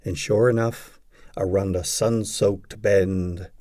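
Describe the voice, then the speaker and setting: A man narrating a poetry audiobook, reciting scripted verse in a measured, deliberate way. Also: sits low, fairly even intonation, mellow, no room sound, studio quality.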